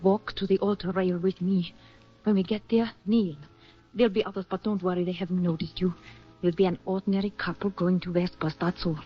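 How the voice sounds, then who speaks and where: A woman's voice: on the low side, fairly even intonation, a little fast, slightly rough. A woman playing a protective young woman sheltering a hunted man in a 1940s radio drama, controlled and urgent. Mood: hushed, urgent. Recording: muffled vintage radio with music bed.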